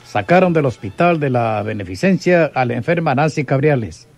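An actor playing a stern, formal police captain with a Mexican accent, interrogating in a radio drama. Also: male voice, medium pitch, lively intonation, normal speed, faint music behind, natural voice.

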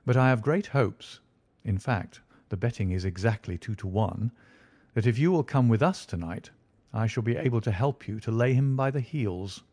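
A man voicing a calm, assured detective. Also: fairly low pitch, moderate pace, no room sound. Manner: calm, dry, quietly confident. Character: cool, measured male detective. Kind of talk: audiobook character voice.

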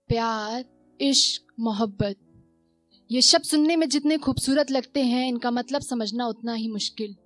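A young woman performing spoken word poetry at a stand mic on a small stage, with dramatic repetitions, rising questions and soft, slow refrains. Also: on the high side. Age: young adult.

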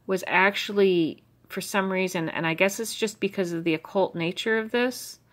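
A woman's voice: mid-range voice, moderate pace. A middle-aged woman from the United States talks through her old journal pages in a rambling, musing way, full of fillers and asides. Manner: musing, stream of consciousness, many fillers. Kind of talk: rambling personal commentary.

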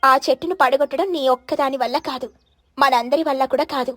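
Woman voicing a gentle, higher-pitched wise sparrow. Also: fairly even intonation, a little fast, no echo. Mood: encouraging. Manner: gentle, friendly. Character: kind, wise female sparrow, gentle and encouraging.

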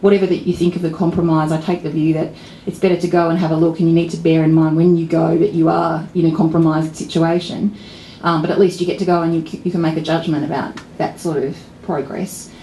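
A woman's voice: sits low, little pitch movement, quick pace, warm tone. A middle-aged Australian woman, a political journalist giving a talk at a pub event, thoughtful and wry, with many fillers and restarts, speaking into a stand mic. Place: pub function room with audience. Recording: roomy venue, faint ambient noise.

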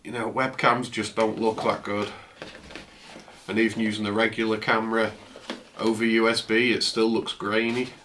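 A man in his fifties, croaky from a flu, chatting casually through an unboxing in his home office, recorded on a camera's built-in mic. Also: lowish voice, normal speed, slight background noise. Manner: relaxed, chatty, trails off. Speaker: tech vlogger. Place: home office, indoors, seated at a desk.

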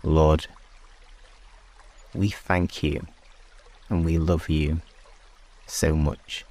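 Male meditation host, exceptionally deep voice, very slow and unhurried delivery, hushed phrasing with long pauses. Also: flat delivery. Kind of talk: slow guided sleep meditation and prayer.

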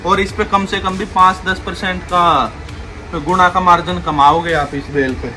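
A young man with a slightly raised voice rattles off a pushy, repetitive sales patter. He is filmed on a handheld phone inside a large clothing warehouse. Music plays clearly behind him. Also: high voice, flat delivery, normal speed, pushed and bright, poor audio. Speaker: wholesale clothing seller. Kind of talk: sales pitch video.

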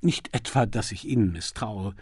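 A man's voice: on the low side, normal speed, a little mellow, slightly rough, dry recording, voice pushed down. Witty aristocratic male sleuth, acted by a man, urbane, playful, probing, audiobook crime story, close dry sound. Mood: urbane, teasing. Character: witty aristocratic gentleman sleuth, keen and teasing.

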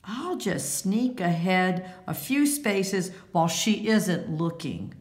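In an echoey room, a storybook boy boasts and taunts like a bad sport, as a woman reader plays him. The words come slowly and deliberately. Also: fairly low pitch, lively intonation, darkish tone, pitched above the performer's own voice. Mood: sly. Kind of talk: children's picture-book read-aloud.

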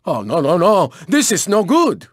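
A man's voice: sits high, wildly swooping pitch, moderate pace, breathy edge, no room sound, clean studio sound, full character voice. A man voicing a cocky, flamboyant race car in a video game, with a Spanish accent and a boastful, dramatic manner. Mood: indignant, dismayed. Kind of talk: theatrical video game character barks.

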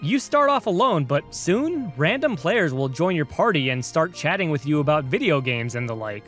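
A man from the United States hosts a scripted video essay on games. His voice sits a little high for a man, and he narrates like an enthusiastic, conversational storyteller. Soft music plays behind him. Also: sing-song, normal speed. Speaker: video game reviewer and host.

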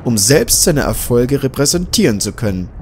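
A man voicing an ageing, slight male scientist who talks in a courteous, flattering way. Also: medium pitch, moderate pace, slight background noise, voice pushed up. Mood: smooth, flattering.

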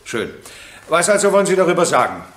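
High-voiced, stern presiding judge. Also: male voice, sing-song, fast talker, fairly bright tone. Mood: stern, formal. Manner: formal, authoritative. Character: stern, formal male presiding court judge. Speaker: actor playing a presiding judge. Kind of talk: German radio crime drama acting. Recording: roomy, echoing courtroom sound.

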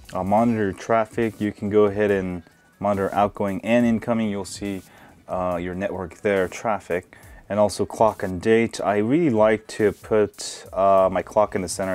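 A man hosting a casual hands-on phone review, relaxed and full of filler words, recorded indoors at a desk. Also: fairly low pitch. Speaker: tech video host.

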